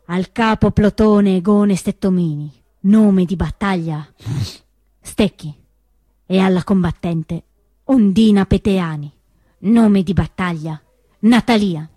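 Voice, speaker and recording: A woman actor delivering dramatic scripted stage narration in measured, emphatic phrases. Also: medium pitch, dark tone, slightly rough, no echo, clean studio sound. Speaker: actress narrator.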